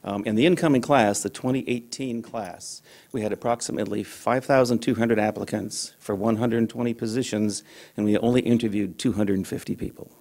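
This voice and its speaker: A man's voice: on the low side, steady tone, fairly quick, creaky, darkish tone. A man speaking for a medical school at a public board meeting, giving brief remarks. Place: meeting room.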